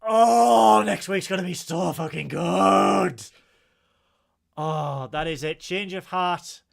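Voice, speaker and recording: A man with a northern English accent hosting a podcast, excitable and theatrical, swearing casually. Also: high-pitched, slow, creaky. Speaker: podcast host. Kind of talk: casual chat.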